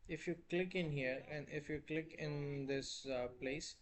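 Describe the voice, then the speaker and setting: Hesitant young Indian man, flat voice. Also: on the high side, measured pace, crowd noise. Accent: Indian English. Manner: hesitant, many fillers and restarts. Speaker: vlogger. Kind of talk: unscripted app walkthrough. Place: small indoor room.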